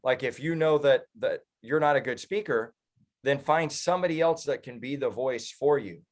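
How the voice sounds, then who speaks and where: A man's voice: medium pitch, moderate pace. A man with an American accent giving conversational political commentary as an interview guest, in an earnest, speechifying manner. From United States. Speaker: political activist and professional speaker, interview guest.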